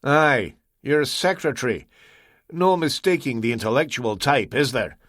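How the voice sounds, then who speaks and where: A man voicing a rough-spoken Scottish man, desperate and strained, in an audiobook story. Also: mid-range voice, animated, measured pace, a bit bright, dry recording, studio quality. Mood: gruff.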